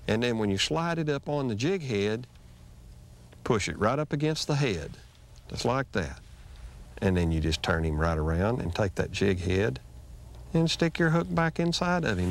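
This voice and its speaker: Guy in his 40s or so showing how to rig bait out on a lake, folksy and easygoing, voice goes up and down a lot. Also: mid-range voice. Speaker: fishing show host. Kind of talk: instructional fishing demonstration. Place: outdoors on a lake, on a boat and on the shore.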